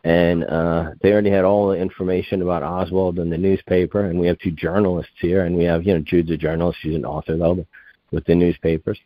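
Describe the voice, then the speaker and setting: Interview guest, male, 50s; deep voice, muffled dry recording; digressive, restarts his sentences often. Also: level tone, fairly quick, no echo. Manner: meandering, self-correcting, digressive. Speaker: guest researcher. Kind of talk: rambling conversational interview.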